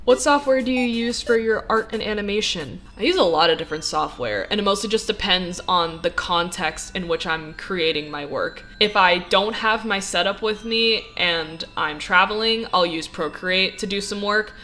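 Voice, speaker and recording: A woman's voice: medium pitch, moderate pace, hardly any pauses, sharp tone. A 21-year-old woman and animation student answering fan questions in a casual Q&A vlog. She is blunt and opinionated, laughs often and swears. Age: young adult, 21. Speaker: animation student and YouTube artist. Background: video game music.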